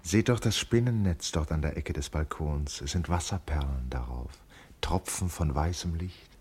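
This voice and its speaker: A reflective, brooding man with an extremely deep, raspy voice. He speaks lyrical monologue in a poetic radio drama. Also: normal speed, a little mellow. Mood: wondering.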